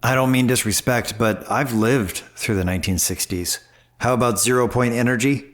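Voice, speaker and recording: A man's voice: medium pitch, normal speed, fairly bright tone, close to an everyday voice. A man playing an insistent, pragmatic older senator in an audio drama, with a probing, businesslike manner. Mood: pressing, skeptical.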